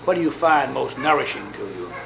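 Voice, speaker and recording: Gravelly male interviewer on hissy tape. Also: medium pitch, lively intonation, a bit bright, rough recording. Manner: measured, polite, with hesitant fillers. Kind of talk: formal interview questioning. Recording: hissy old tape recording.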